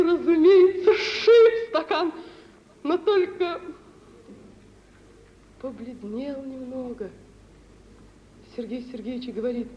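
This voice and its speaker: High, slow, dreamy young woman. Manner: theatrical, emotional, drawn-out delivery. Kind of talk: 1950s Soviet radio stage drama acting. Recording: dull, hissy old radio drama recording.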